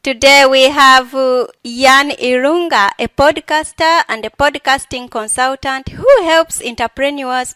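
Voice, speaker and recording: A woman's voice: high-pitched, pushed and bright, dry recording. A woman hosting a podcast, introducing her guest and asking short, friendly questions. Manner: brief prompts and friendly questions. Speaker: podcast host. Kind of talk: interview hosting.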